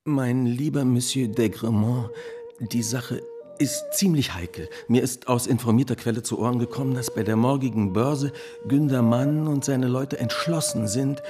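A man's voice: medium pitch, normal speed, lightly acted. A man in a radio drama playing an anxious stock market insider who speaks urgently and confidentially. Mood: confiding, worried. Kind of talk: German radio drama acting.

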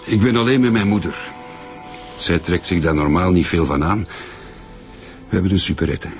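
A man's voice: deep voice, animated, moderate pace, soft background music. A young man playing a bereaved, defensive boyfriend in a naturalistic radio drama. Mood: earnest, defensive. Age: young adult.

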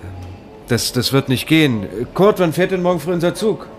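A man's voice: a little high, lively intonation, moderate pace, close to an everyday voice. A man playing a shy, awkward young bank robber in a radio play, speaking hesitantly with small stammers, music behind him. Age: young adult. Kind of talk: radio drama acting.

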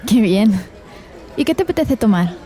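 A woman's voice: mid-range voice, big pitch swings, normal speed, mellow, a faint crowd, lightly acted. An actress playing a playful, flirtatious woman from Spain in an intimate radio drama, with a Spanish accent and a teasing manner. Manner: teasing, coquettish. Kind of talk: radio drama acting, intimate phone conversation.

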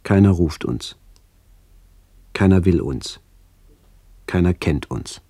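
A man reading a scripted literary radio narration in a measured, solemn manner with incantatory phrasing. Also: low voice, animated, warm tone, slightly rough, no room sound.

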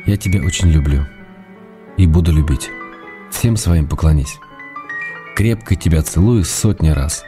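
A man's voice: very deep voice, measured pace, long pauses, music in the background. An actor playing an affectionate, slightly weary husband in a radio play, reading out his letters in an intimate, restrained, tender way. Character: affectionate husband and writer, gentle, weary, fond. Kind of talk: quiet dramatic reading of letters.

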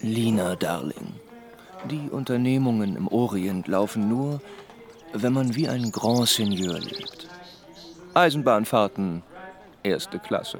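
Boastful low-voiced husband, unhurried. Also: male voice, animated, faint music behind. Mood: grand, persuasive. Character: Karl Hau, boastful spendthrift husband and businessman. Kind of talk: radio drama acting.

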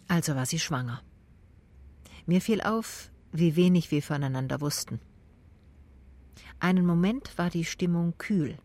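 A woman playing a cool, observant private detective who narrates her case tersely and matter-of-factly in a radio play, with a husky voice. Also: on the low side, lively intonation, measured pace, pauses often, a little mellow. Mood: cool, reflective. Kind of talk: radio play first-person narration and dialogue.

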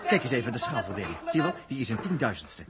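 A man's voice: medium pitch, sing-song, very fast, lightly acted. An actor playing a slangy, impatient, jokey spaceman in a 1960s radio play. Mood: busy, figuring out. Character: brash, jokey, excitable spaceman, man of action. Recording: very noisy old radio recording.

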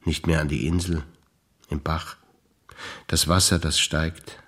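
An older man, a stage actor reciting memories in a rhythmic, deliberate listing manner with pauses. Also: a bass voice, level tone, fairly bright tone.

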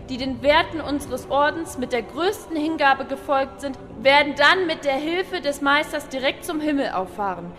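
A fanatical cult high priestess, acted by a woman, preaches solemnly in a high voice. Long reverb carries her grandiose sermon over faint music in a parody audio play. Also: fairly bright tone. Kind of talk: German comedy audio drama parody.

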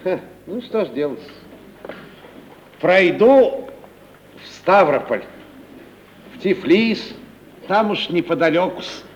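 Down-at-heel touring comic for old radio comedy: chatty, humble, plaintive, high-pitched, slight background hiss. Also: male voice, expressive, slow pace, long pauses. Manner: deferential, plaintive. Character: meek, shabby, chatty wandering male comic actor. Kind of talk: theatrical 1950s radio play acting. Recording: dull, faintly noisy 1950s radio recording.

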